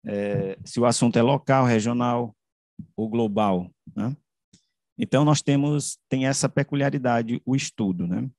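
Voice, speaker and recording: A man with a Brazilian accent presents his research from slides in a mid-range voice. He speaks haltingly, restarting and correcting himself and checking in with short tags. The sound is dry, on a video call. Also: mid-range voice, darkish tone, no echo. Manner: halting, with frequent self-corrections and tag questions. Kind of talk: academic slide presentation, semi-improvised. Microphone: computer mic on a video call.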